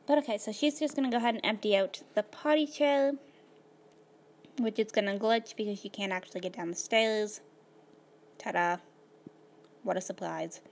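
Woman a bit hoarse, chatting laid-back thru a sim game, lots of ums and likes, mid pitch. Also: normal speed, long pauses. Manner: rambling, filler-heavy, laid-back. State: slightly hoarse, losing her voice. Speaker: gaming video host. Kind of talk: casual gameplay commentary.

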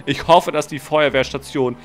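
A young man narrating a roleplay game in a dramatic, urgent, slangy way, excited as he plays. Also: on the high side, normal speed. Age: young adult. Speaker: video creator playing a roleplay game. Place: indoor streaming room. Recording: music behind the voice.

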